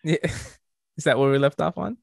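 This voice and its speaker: Gravelly young man, teasing and dry. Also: fairly high pitch, no room sound. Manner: teasing, quick comebacks, laughs often. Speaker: podcast co-host. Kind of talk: casual chat.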